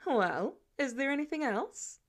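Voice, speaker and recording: A woman's voice: high-pitched, sing-song, unhurried. A woman voicing a casual, wry male boss and inventor in a story reading. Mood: wryly amused. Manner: casual, teasing, glib.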